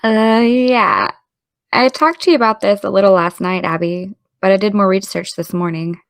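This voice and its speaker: An actress playing a studious, quietly wry teenage girl, matter-of-fact, in a naturalistic audio drama. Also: mid-range voice, moderate pace, gravelly, clean tone, no room sound, lightly acted.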